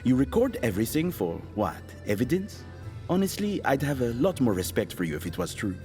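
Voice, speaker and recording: Actor as dry, sarcastic hitman, lively intonation. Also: medium pitch, fairly quick, in a large hall, faint music behind, close to an everyday voice. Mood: accusing. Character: irritable, world-weary hired killer interrogating a woman. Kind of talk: audio drama acting.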